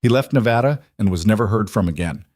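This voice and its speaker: A man narrating a scripted story in a measured, even way. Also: fairly low pitch, no room sound, clean studio sound.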